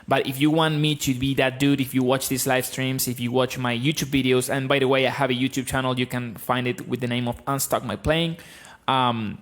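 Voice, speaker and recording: Guitar teacher, thirties, Argentine-accented male, blunt and lively, close mic in a small home room. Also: medium pitch, fairly quick. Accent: Argentine Spanish. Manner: blunt, animated, explaining. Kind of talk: live-streamed guitar lesson. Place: small room with bookshelves, indoors. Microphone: studio mic on stand, close.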